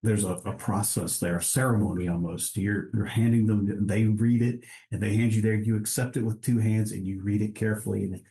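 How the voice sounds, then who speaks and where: Guy with a low warm mellow voice chatting easy on a podcast, lots of restarts. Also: fairly quick, few pauses. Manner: relaxed, many restarts, easy laughs. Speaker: guest, international trade director. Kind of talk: casual interview conversation.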